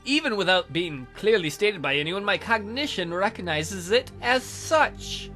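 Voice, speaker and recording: A man hosting a let's play, reading a visual novel aloud in a theatrical, expressive way. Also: high-pitched, unhurried. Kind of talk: dramatic read-aloud of a visual novel, with casual asides. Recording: music behind the voice.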